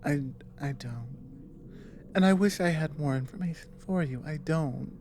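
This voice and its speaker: A woman acts out an earnest dragon woman in an intimate ASMR audio drama. Her voice is low and mellow, and she advises gently and very slowly, pausing often as she chooses her words. A faint noise sits behind her. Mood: grave, earnest. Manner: soft, hesitant, deliberate, with long pauses. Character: earnest dragon woman, careful war advisor and tender lover.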